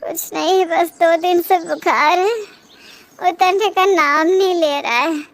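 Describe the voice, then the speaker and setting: A woman's voice: very high-pitched, steady tone, slow, heavily acted. A woman voicing a plaintive, martyred elderly mother-in-law who complains in a cartoon moral story. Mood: weary complaint.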